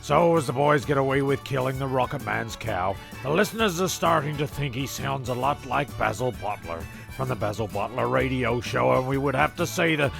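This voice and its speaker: Male comedy narrator: very creaky, tongue-in-cheek, stumbling delivery, music bed underneath. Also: mid-range voice. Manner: stumbling, repeating phrases. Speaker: narrator and castaway in a comedy serial.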